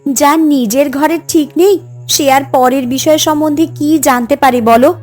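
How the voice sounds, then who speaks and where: Woman acting a high-pitched, pleading village wife. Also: moderate pace, darkish tone, natural voice. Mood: troubled, confiding. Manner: pleading, reproachful. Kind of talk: dubbed cartoon dialogue. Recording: music under the voice.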